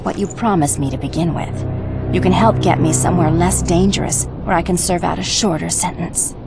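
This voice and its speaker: Sly, flirty thief over loud music. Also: female voice, fairly low pitch, fairly even intonation, moderate pace, gravelly. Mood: coy, persuasive. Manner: teasing, coy, persuasive. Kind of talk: video game cutscene acting. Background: loud game music.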